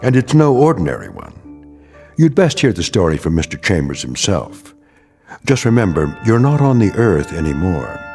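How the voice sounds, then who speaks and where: A man narrating an anthology audio drama as a wry, portentous storyteller, deliberate and dramatically paused. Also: low voice, huge pitch swings, a little mellow, soft background music, full character voice. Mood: wry, ominous. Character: wry, portentous male storyteller introducing a strange tale.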